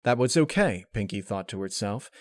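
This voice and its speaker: A man's voice: fairly low pitch, animated, moderate pace, dry recording. Dry, close male story narrator. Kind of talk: scripted fan fiction narration.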